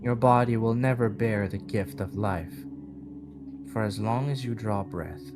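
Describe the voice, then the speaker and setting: A man voicing a solemn, cryptic and sympathetic mysterious woman in an audio drama, speaking in formal, measured phrases. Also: fairly low pitch, natural voice. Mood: solemn, foreboding. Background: guitar music.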